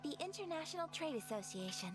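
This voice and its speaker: A woman's voice: high voice, sing-song, fast talker. A woman playing a gracious young noblewoman in a video game, speaking in a formal, polite manner. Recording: music behind the voice.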